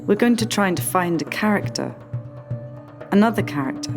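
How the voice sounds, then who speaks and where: A woman playing a whimsical, musing character in a radio play, with deliberate, drawn-out phrasing. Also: medium pitch, animated, creaky. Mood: playful. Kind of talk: slow, stylised art radio play delivery. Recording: long reverb, music behind the voice.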